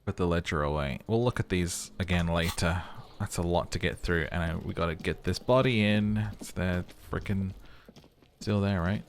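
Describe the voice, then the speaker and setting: A man's voice: sits low, normal speed, slight background noise. A man reading game text aloud and chatting through a let's play, musing as he goes. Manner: chatty, musing aloud between narrated passages. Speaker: gaming commentator.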